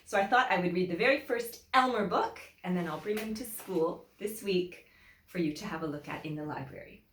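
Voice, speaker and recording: A woman teacher reading a children's picture book aloud in an expressive, deliberate way, with emphatic phrasing for young listeners. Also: lowish voice, a little hiss or hum. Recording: echoey room.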